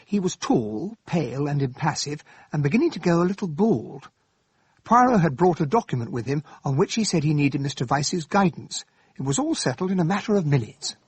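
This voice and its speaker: An actor playing an earnest English gentleman sidekick, well-spoken and descriptive, narrating and acting in a radio drama. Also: fairly high pitch, lively intonation, a little fast, breathy edge. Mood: reflective narration.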